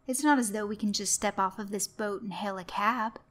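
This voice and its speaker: A woman voicing a soft-spoken middle-aged father who talks in calm, soothing tones. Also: mid-range voice, a little fast, slight background noise, close to an everyday voice.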